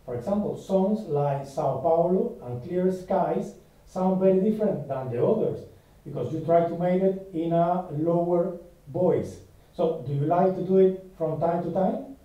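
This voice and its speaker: A man's voice: high-pitched, measured pace, dark tone, very echoey, far from the mic, low-quality recording. A man whose first language is Spanish, asking interview questions with careful, non-native phrasing.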